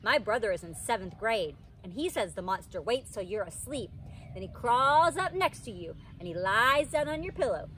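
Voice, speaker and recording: A woman's voice: a little high, very animated, moderate pace, a bit bright. A woman reading the part of a teasing, know-it-all young schoolboy in a storybook, taunting and insistent. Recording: outdoor background noise.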